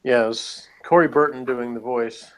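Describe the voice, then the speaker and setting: A man's voice: mid-range voice, fairly even intonation, measured pace, rough recording. A man co-hosting a review show, talking casually about pop culture in an easygoing, hedging way.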